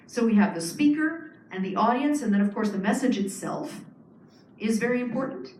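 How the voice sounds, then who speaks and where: A woman's voice: a little high, animated, moderate pace, a little mellow, far from the mic, slight background noise. A woman giving a public lecture, explaining earnestly with frequent fillers and restarts. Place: lecture hall. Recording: echoey hall, speaker off-mic.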